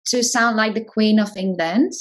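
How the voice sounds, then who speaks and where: A woman's voice: mid-range voice, moderate pace. A woman hosting a casual interview, encouraging and asking guiding questions. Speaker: host.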